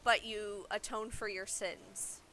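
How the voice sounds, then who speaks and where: A woman's voice: medium pitch, fairly even intonation, takes it slowly, sharp tone, slight background noise. A woman streaming a game, explaining its story in loose, informal casual chat.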